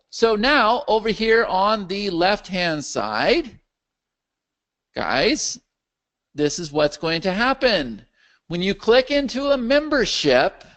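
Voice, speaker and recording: Gravelly-voiced man hosting a live call. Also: on the high side. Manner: animated, checks in with the audience often. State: losing his voice. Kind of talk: live webinar Q&A with screen share.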